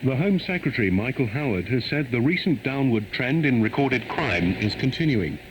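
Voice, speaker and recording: A man with a mid-pitched voice reads the news in formal bulletin style. He is heard over a 1990s shortwave broadcast with clearly audible noise. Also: expressive, moderate pace. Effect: shortwave radio. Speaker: radio newsreader. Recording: very noisy 1990s shortwave radio reception.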